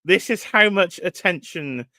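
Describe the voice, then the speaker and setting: A man's voice: high-pitched, expressive, measured pace, sharp tone, dry recording, studio quality. A British man hosting a toy-collecting livestream, casually chatting and teasing while greeting viewers from the chat. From United Kingdom. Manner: chatty, teasing, reads chat names aloud. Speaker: livestream host.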